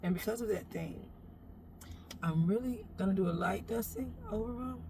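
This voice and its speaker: Woman, deep gravelly voice, sassy and playful, direct to camera, parked car. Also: moderate pace, background noise. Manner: sassy, playful, talks straight to the viewer and calls them baby. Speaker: makeup vlogger. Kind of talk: chatty makeup tutorial. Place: inside a parked SUV.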